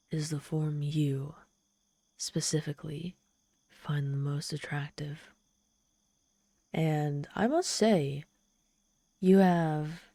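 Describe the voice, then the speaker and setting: A woman's voice: deep voice, dark tone, dry recording, full character voice. A woman playing an ancient, proud but lonely demon woman in an ASMR roleplay, with a haughty, theatrical manner that hides vulnerability. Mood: coy, teasing. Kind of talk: ASMR audio roleplay, addressing the listener.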